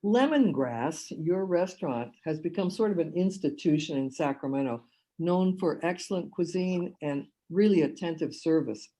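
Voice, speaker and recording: Woman radio host, low mellow voice, friendly interviewer. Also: lively intonation, normal speed. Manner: friendly, brief affirmations. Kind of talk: interview hosting.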